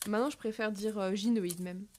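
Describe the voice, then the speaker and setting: A woman's voice: mid-range voice, steady tone, brisk, a little mellow, natural voice. A woman playing a long-lived gynoid botanist, reminiscing in a conversational, wondering way in an audio drama. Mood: musing. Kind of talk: intimate monologue in a fiction podcast.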